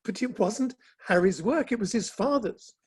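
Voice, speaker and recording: A man in a dramatic play reading, playing an earnest, principled Victorian gentleman who speaks in a measured, formal way. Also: high-pitched, expressive, slightly rough. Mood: reproachful.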